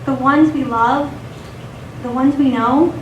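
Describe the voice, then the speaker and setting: A woman's voice: high-pitched, steady tone, slow, lo-fi sound. A woman playing a wistful, poetic young female figure reciting rhyming verse in stage radio theatre. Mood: wistful, questioning. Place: theater stage, recorded from a distance. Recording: echoey theater, distant mic, faint noise.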